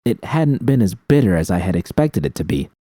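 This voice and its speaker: A man's voice: low voice, sing-song, a little fast, mellow, no echo, clean studio sound. A man reading first-person fiction as an audiobook narrator, with dramatic storytelling delivery.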